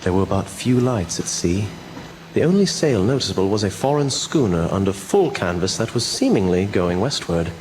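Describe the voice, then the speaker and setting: An actor playing a grave doctor who reads evidence aloud in a measured, portentous radio drama style. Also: male voice, on the low side, big pitch swings, darkish tone, slight background noise, poor audio. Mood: grave, suspenseful. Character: grave male doctor presenting evidence, reading aloud solemnly.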